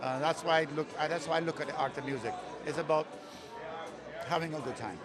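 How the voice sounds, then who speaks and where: A man with a fairly high voice speaks with enthusiasm and rambles as he goes. A crowd chatters loudly behind him. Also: fast. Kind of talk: casual interview.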